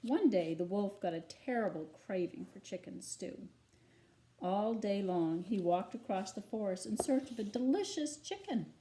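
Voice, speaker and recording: Mellow-voiced woman reading a children's story. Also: medium pitch, expressive, moderate pace, slight background noise, rough recording. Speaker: storybook reader. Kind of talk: read-aloud children's storytelling.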